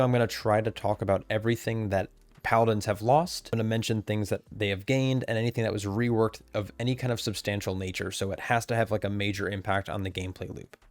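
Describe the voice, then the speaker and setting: Man, lowish voice, losing his voice; casual rambling game commentary, dry close mic. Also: darkish tone, dry recording. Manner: casual, rambling, self-correcting. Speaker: game content creator. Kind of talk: recorded commentary on game changes. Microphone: desk mic, camera off.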